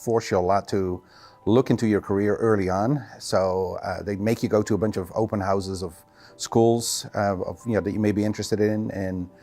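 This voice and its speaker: A man's voice: on the low side, fairly even intonation, moderate pace, dark tone, faint music behind. A middle-aged man from the Netherlands with a Dutch accent, a native Dutch speaker, casually answering interview questions as a physical therapist and clinic owner.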